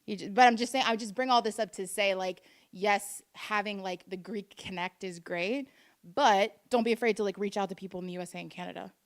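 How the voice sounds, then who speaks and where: A woman's voice: medium pitch, a little fast. A woman steps up to a forum microphone and talks eagerly and casually. She rambles a little and peppers her speech with "like" as she makes her point. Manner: enthusiastic and chatty, with many "like"s and restarts. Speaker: dance group director. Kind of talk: audience comment at a forum.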